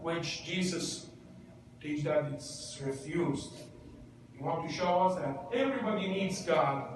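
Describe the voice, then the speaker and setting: A man's voice: on the high side, unhurried. A man pastor giving a conversational Bible lesson, asking the congregation questions. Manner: conversational, asks the room questions. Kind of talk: interactive Bible teaching. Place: church. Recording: echoey church hall, distant mic, noisy.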